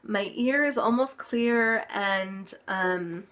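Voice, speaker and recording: Slow, unhurried young woman vlogger, stuffy-nosed and recovering, rambling casual update. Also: medium pitch, fairly bright tone. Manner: chatty, lots of ums, occasional laughs. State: congested, recovering from illness. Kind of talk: casual health update vlog.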